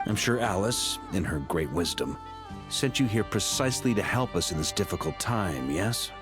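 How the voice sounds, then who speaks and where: Deep-voiced roguish knight. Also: male voice, normal speed. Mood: coaxing. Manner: wry, casual, theatrically expressive. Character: roguish charming grand-master knight, self-deprecating under pressure. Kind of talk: video game cutscene dialogue. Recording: music behind the voice.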